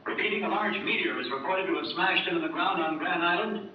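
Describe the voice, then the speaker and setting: A man's voice: high-pitched, fast, slight background noise, lightly acted. A man playing a grave American announcer reading a scripted radio news bulletin. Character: grave male announcer reporting a deadly meteor crash. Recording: muffled echoey archive radio, distant.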